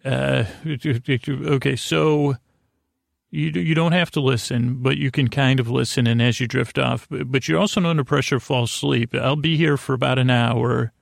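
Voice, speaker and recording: A middle-aged man hosting a bedtime podcast, rambling in a halting, stammering way with self-deprecating, gently silly asides and tangents. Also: medium pitch, steady tone, creaky, no room sound, clean studio sound. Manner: halting, stammering, repeats words and trails off into tangents. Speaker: podcast host.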